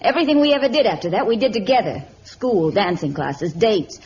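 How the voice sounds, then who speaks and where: A woman's voice: mid-range voice, expressive, a little fast, darkish tone, close to an everyday voice. Woman as a bitter, sardonic young showgirl. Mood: resentful. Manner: curt, sardonic, clipped retorts. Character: bitter, stubborn young showgirl twin sister. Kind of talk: vintage radio melodrama acting. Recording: dull, lightly noisy old radio recording.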